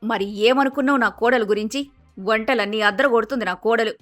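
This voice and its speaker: A woman's voice: fairly high pitch, a little fast, fairly bright tone, faint music behind, close to an everyday voice. A woman voicing a blunt, bossy middle-aged village mother-in-law with an emphatic Telangana Telugu accent, in a cartoon story. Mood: boastful, chatty. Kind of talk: acted dialogue in animated moral story.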